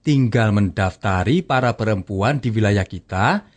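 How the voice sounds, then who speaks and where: An actor playing a level-headed, conciliatory neighbour in a scripted drama, with an agreeable, placating manner. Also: male voice, fairly low pitch, lively intonation, a little slow.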